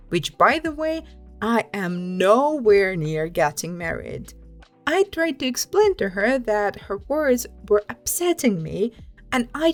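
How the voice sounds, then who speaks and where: A woman narrates a scripted story in a fairly high voice. Her intonation is very animated, rising and dropping often. Light music plays behind her reading. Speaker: host reading online stories aloud.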